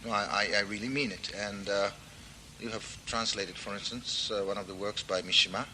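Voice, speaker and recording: Bright, low-voiced man interviewing politely. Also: fairly even intonation, a little hiss or hum, poor audio. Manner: polite, halting, many fillers. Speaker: talk show host. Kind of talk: interviewing a guest.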